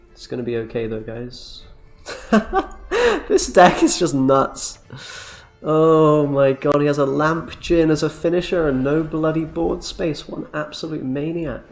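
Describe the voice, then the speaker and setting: A young man streaming a card game, chatting casually and joking as he reacts aloud to each play. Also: on the high side. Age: young adult. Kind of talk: casual gameplay commentary. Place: small room indoors.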